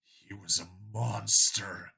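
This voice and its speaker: A grim human cop, read by a man, quiet and firm, with a very crisp, forceful edge to the voice. He is grave and morally resolute, as heard in a sci-fi audiobook. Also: lowish voice, fairly even intonation, takes it slowly, pitched below the performer's own voice, exaggerated voice.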